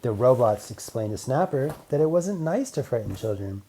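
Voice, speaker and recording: A children's audiobook narrator reading a story with deliberate, storybook phrasing. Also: medium pitch, big pitch swings, heavy background noise.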